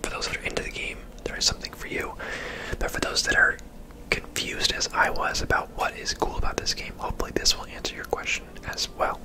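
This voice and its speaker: Whispered ASMR voice, breathy, soft, casual game commentary, unhurried, close-miked. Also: poor audio. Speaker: gaming ASMR host.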